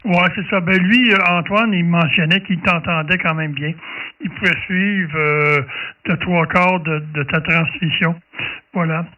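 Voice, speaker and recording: High-voiced Québécois man, distant and dull. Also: fairly even intonation, a little slow. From Quebec, Canada. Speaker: amateur radio operator. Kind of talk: casual radio chat. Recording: dull, distant room sound.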